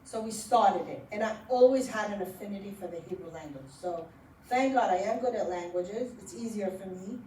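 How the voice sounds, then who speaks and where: A middle-aged woman from Iran, an author answering audience questions at a library talk and telling her story in an animated way. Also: mid-range voice, a little fast. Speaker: memoir author. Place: library event hall. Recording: roomy, distant with faint noise.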